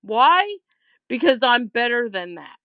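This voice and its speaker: Slow, blunt woman on dull voicemail audio. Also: on the high side, very animated, dry recording. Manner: blunt, aggrieved, emphatic. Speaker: caller leaving a voicemail. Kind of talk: informal complaint voicemail. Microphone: phone call.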